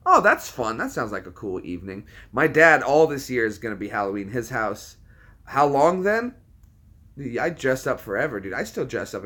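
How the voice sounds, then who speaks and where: A man's voice: mid-range voice, sharp tone, slightly rough. A man in his thirties hosting a livestream, chatting casually with viewers in a rambling, laid-back way. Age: 30s. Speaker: livestream host.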